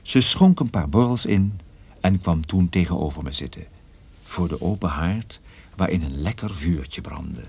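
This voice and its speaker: Casting: radio-play private eye, deep and husky, world-weary, dry hard-boiled storyteller. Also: male voice, lively intonation, a little slow, a little mellow, exaggerated voice. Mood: wry, dry. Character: wry, world-weary male private detective. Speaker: narrator and lead actor in a radio play. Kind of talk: hard-boiled first-person detective narration, radio drama acting.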